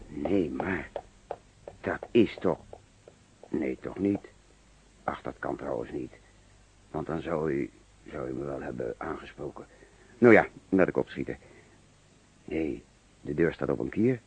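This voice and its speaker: An actor playing a nervous, evasive suspect under police questioning in a radio play, hesitant, defensive and repeating himself. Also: male voice, very deep voice, animated, a little slow, pauses often, a little mellow, husky, exaggerated voice. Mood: hesitant, uneasy. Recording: dull, fuzzy old radio recording.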